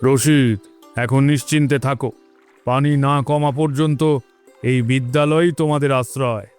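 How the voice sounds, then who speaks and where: Man voicing a clear-voiced, solemn village headman. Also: medium pitch, fairly even intonation, normal speed, a little mellow, soft background music, natural voice. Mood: reassuring. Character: wise, kindly male village headman. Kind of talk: solemn animated moral story dialogue.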